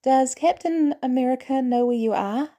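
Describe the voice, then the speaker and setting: A woman's voice: sits high, moderate pace, mellow, voice pushed up. A woman voicing an eager, stubborn fourteen-year-old boy in an audio drama, curious and cheeky, blurting out questions. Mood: concerned, earnest.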